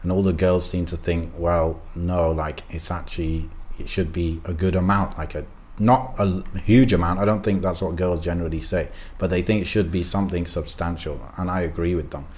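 Very deep-voiced man, chatty and opinionated. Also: fairly even intonation, a little fast, a little mellow. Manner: loose, opinionated, many fillers and restarts. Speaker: podcast co-host. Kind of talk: casual chat.

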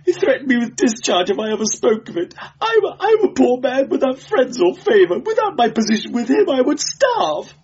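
A man's voice: medium pitch, very animated, slow, few pauses, breathy edge, dull audio, heavily acted. A man voicing a terrified, cringing clerk who stammers and whimpers shrilly in an audiobook story. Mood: whimpering. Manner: hysterical, sobbing.

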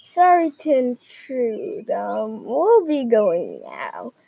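A woman plays a cautious young schoolboy in an amateur audio drama. The voice is high and anxious, with a hesitant way of getting words out. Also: huge pitch swings, extremely slow, vocal fry, mellow, husky, full character voice. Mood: awkward.

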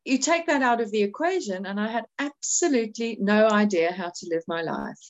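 A middle-aged woman from South Africa with a South African accent, sharing her recovery story in a heartfelt, halting way. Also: medium pitch, lively intonation. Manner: heartfelt, halting, many restarts. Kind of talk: personal testimony at a recovery meeting.

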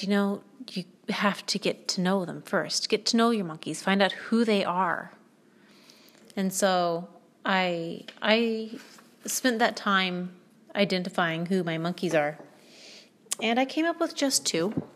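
A woman hosting her own podcast, talking in a soothing, reflective way and pausing often to gather her thoughts. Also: medium pitch. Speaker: podcast host. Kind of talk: reflective spoken monologue.

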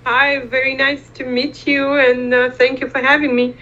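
A young woman from Eastern Ukraine, a podcast guest, speaking hesitantly with many fillers and pauses. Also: sits high, flat delivery, pushed and bright, highs cut off. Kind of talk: conversational interview.

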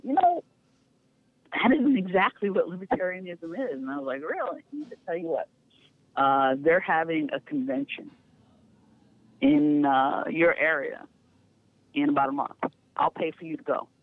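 A frank, lively woman in middle age tells candid stories with a neutral, unaccented delivery. Her voice comes through a narrow, tinny phone line. The sound is dry, with no room around it. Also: on the low side, normal speed, long pauses, no echo. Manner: frank, lively, laughs easily. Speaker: podcast guest. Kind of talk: candid storytelling.

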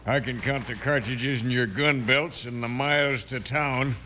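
An actor playing a cocky, menacing captured outlaw leader in a 1950s American Western radio drama, taunting in drawled frontier slang. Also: male voice, mid-range voice, fairly even intonation, measured pace, sharp tone. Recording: noisy old-time radio recording.

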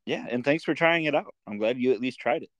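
A man's voice: medium pitch, fast talker, dry recording. An American man from the Midwest hosting a podcast in an informal, casual chat full of filler words like "like" and "you know". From Midwestern United States. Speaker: podcast host.

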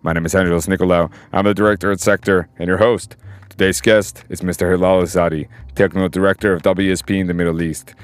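Male podcast host, really deep voice, smooth scripted intro. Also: little pitch movement, quick pace. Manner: polished, scripted delivery in the intro.

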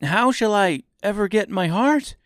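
A man voicing an aggrieved metal man in a storybook, forceful and demanding. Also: a little high, very animated, moderate pace, no echo, clean studio sound, pitched above the performer's own voice. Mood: distressed. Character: Tin Woodman, emphatic, aggrieved metal man.

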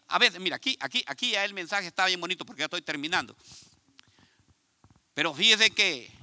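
A man from Ecuador with an Ecuadorian Spanish accent, preaching a sermon in an animated, conversational way and speaking straight to his congregation. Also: fairly high pitch, no echo. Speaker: pastor. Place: church.

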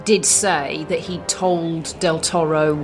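A woman's voice: sits low, fairly even intonation, slow pace. A woman co-hosting a film discussion, casual yet analytical, putting her points in a considered, articulate way. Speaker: podcast co-host discussing films. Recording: music clearly audible under voice. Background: music.

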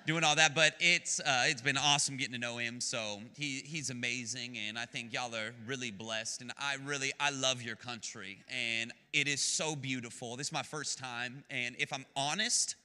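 A man's voice: medium pitch, fairly even intonation, normal speed, few pauses, pushed and bright. A man in his late twenties with an American accent, preaching as a guest pastor in an enthusiastic, chatty way with jokes for the congregation. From United States. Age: 20s. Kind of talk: sermon. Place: church sanctuary. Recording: long church-hall reverb.

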